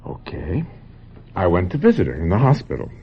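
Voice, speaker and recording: An actor playing a manipulative, domineering older film director in a radio drama, speaking theatrically and insistently with deliberate, condescending emphasis. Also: male voice, deep voice, wildly swooping pitch, gravelly, husky, heavily acted. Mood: pressing, patronizing. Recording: dull, noisy old radio drama recording.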